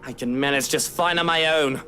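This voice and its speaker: An actor in a visual novel game playing an impatient, hot-tempered young gentleman, brash and agitated. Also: on the high side, brisk. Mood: worried, insistent. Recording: music behind the voice.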